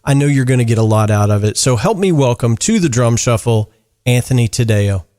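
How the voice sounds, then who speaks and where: A man hosting a podcast interview in relaxed, easygoing chat, often stumbling over words and filling pauses. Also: mid-range voice. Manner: easygoing, lots of fillers and restarts. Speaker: podcast host.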